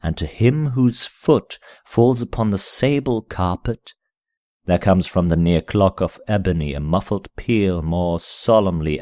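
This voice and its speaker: A man reading a gothic horror story aloud in a measured, solemn, theatrical manner, at times dropping to a hush. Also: low voice, dry recording, clean studio sound. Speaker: amateur reader of a short story. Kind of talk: dramatic scripted narration of a gothic horror tale.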